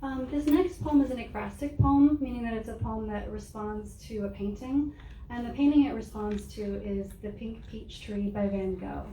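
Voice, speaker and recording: A soft-spoken woman reading her own poems aloud in a measured, deliberate way to a live audience. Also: fairly high pitch. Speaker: poet reading her work. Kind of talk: poetry reading. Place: room with an audience. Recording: roomy, distant mic with faint noise.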